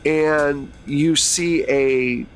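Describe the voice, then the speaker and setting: A man's voice: on the high side, fairly even intonation, very slow, soft background music. A man narrating a tabletop role-playing game as host, in an informal, conversational way. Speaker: game master and host of an actual play podcast.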